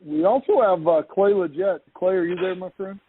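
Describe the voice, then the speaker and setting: A man's voice: sits high, normal speed, a little hiss or hum. A man with a West Texas accent hosting a podcast, chatting in a jokey, self-deprecating way. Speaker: podcast host. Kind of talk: casual video-call chat. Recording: phone-like call audio.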